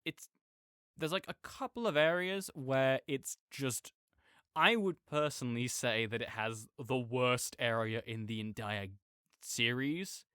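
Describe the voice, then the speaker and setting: A man playing an opinionated gamer roommate in an audio roleplay, ranting casually and haltingly, often correcting himself with apologetic asides. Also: mid-range voice, a bit bright, smooth, dry recording, clean studio sound, lightly acted. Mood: rambling, opinionated. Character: opinionated gamer roommate ranting good-naturedly about games. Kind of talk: rambling casual rant, sleep-aid audio roleplay.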